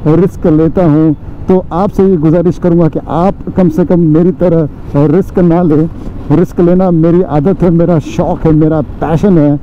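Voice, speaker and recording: A man's voice: high-pitched, mellow. A man in his forties, a motovlogger, chatting casually to viewers while riding his motorcycle outdoors on a helmet camera mic. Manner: chatty, addresses viewers as friends, many fillers. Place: outdoors on a motorcycle on city and village roads. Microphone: helmet or bike-mounted action camera mic. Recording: dull lo-fi outdoor riding audio with faint road noise. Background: faint traffic and road noise.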